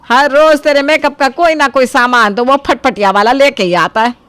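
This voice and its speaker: A woman playing a sharp-tongued, nagging, sarcastic mother-in-law in a cartoon family drama. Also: sits high, moderate pace, clear, resonant tone, no echo. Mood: irritated.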